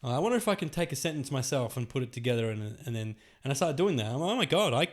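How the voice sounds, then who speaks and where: A man's voice: mid-range voice, sing-song. A man hosting a comedy podcast, chatting casually in a rambling way and agreeing often with the guest. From Australia. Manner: chatty, rambling, quick affirmations, laughs easily. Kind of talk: casual chat.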